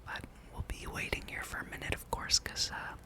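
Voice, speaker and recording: A person whispering a relaxed ASMR gameplay commentary, breathy and rambling, with dry, wry asides. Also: brisk. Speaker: host of a gaming ASMR channel.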